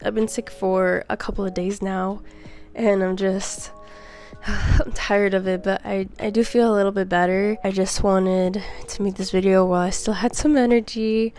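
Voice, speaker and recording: A woman's voice: mid-range voice, little pitch movement, normal speed, a little mellow, faint music behind. A young woman with a congested, stuffy voice from a cold, chatting in a rambling, giggly way close to the mic. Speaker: book vlogger. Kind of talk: casual chatty book haul.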